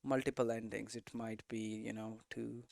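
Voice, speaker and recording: A man's voice: mid-range voice, little pitch movement, moderate pace, gravelly, darkish tone, no room sound, studio quality. A man giving an informal lesson, thinking aloud conversationally and often checking in with tags like "right?". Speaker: game development streamer and teacher.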